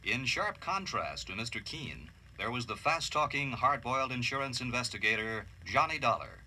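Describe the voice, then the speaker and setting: A man's voice: medium pitch, sing-song, moderate pace, pushed and bright, a little hiss or hum. A man reading scripted retrospective narration as a documentary narrator.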